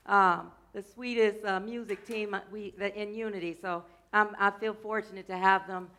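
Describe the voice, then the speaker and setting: A woman minister giving a loose, chatty sermon to her congregation. Her voice is scratchy today and she keeps clearing her throat, and she tends to wander off topic and joke along the way. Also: mid-range voice, steady tone, moderate pace, pushed and bright. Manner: rambling, playful, drifts off topic mid-sentence. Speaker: minister of a church.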